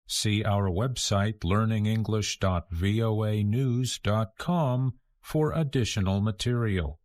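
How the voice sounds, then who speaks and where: A man's voice: lowish voice, slow, darkish tone. An American man hosting a scripted radio programme for learners, enunciating each phrase carefully. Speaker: programme host. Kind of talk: slow scripted radio introduction.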